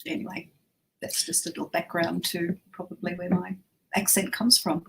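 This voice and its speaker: A woman's voice: on the low side, moderate pace, vocal fry, a bit bright, a little hiss or hum, poor audio. A woman co-hosting a casual chat, with a mostly Australian accent blended from many places, talking chattily and laughing easily. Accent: Australian mixed with others. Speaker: co-host.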